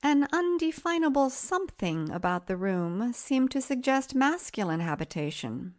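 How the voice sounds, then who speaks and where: A woman reading a literary story as an audiobook narrator, measured and expressive. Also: high-pitched.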